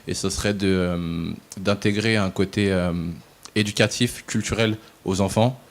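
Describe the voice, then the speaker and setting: A young man from Paris, a pageant contestant answering casting questions hesitantly, with many pauses and fillers. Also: lowish voice, fairly even intonation. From Paris, France.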